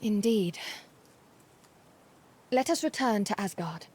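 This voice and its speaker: A woman's voice: mid-range voice, lively intonation, takes it slowly, a little mellow, breathy edge. A woman voicing a stern, loyal warrior maiden in a video game, speaking formally and earnestly, with music behind. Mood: respectful. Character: solemn, steadfast warrior maiden serving a god. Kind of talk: dramatic video game acting.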